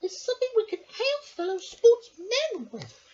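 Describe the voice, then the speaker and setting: An eccentric, practical lady put on by a woman narrator declaims cheerily in a very high, swooping sing-song. It is a storybook character voice, exaggerated and eager. The home recording is muffled and hissy. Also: huge pitch swings, unhurried, darkish tone, full character voice. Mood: cheery, decisive.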